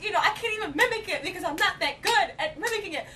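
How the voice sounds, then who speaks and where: Young female co-host with a high voice, excitable casual delivery, doubling words for emphasis, picked up from a distance. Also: quick pace, a crowd in the background. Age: young adult. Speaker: co-host of a geek culture show. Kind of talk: casual, enthusiastic chat. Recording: distant mic with clear background noise.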